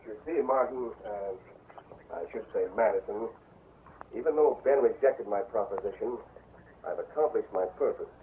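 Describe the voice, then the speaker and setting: An actor playing a smooth, scheming gambler villain with a suave manner, in a 1940s radio drama. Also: male voice, fairly low pitch, moderate pace, a little hiss or hum, close to an everyday voice. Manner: suave, crafty. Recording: tinny old radio broadcast.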